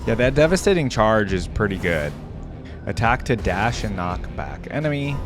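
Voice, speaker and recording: A man's voice: lowish voice, sing-song, moderate pace, over music. A man giving casual reaction commentary as the host of a gaming video.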